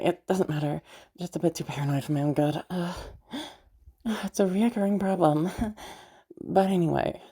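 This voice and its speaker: A woman plays a kindly young female stranger in an intimate audio roleplay. Her voice is very low, dark and husky. She talks casually and haltingly, with a teasing but comforting manner. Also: heavily acted. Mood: gentle, reassuring. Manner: hesitant, chuckling often, casual swearing. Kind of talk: improvised-sounding intimate audio roleplay, one side of dialogue.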